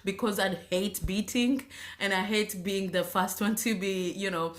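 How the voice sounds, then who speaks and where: A woman from Zanzibar tells her own story, hesitating often and restarting her sentences. She keeps clearing her throat and coughing between phrases. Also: mid-range voice, fairly even intonation. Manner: halting, many fillers and restarts, frequent throat clearing. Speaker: speaker sharing her faith story. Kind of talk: personal testimony.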